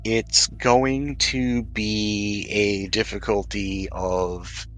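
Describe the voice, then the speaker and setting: A man's voice: on the low side, little pitch movement, extremely slow, fairly bright tone. A man acting as game master, narrating scenes and explaining rules in a tabletop game.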